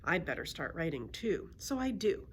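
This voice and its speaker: A woman's voice: lowish voice, very animated, moderate pace, a little hiss or hum. A woman reading a children's book aloud as a storyteller, expressively acting out the dialogue.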